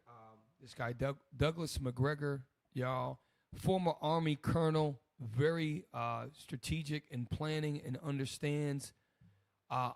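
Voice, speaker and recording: A hoarse man hosts a loose, rambling commentary in a preaching tone. His voice is gravelly and the sound is dry and close. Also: fairly high pitch, a little mellow, no room sound. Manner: loose and rambling, many restarts. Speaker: host.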